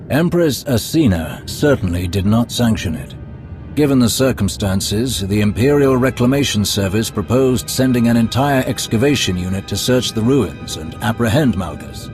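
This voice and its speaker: An actor playing a smooth, scheming male Sith lord who speaks in a courtly, ingratiating, measured way. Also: medium pitch, lightly acted. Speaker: Imperial schemer. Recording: game cutscene over loud music.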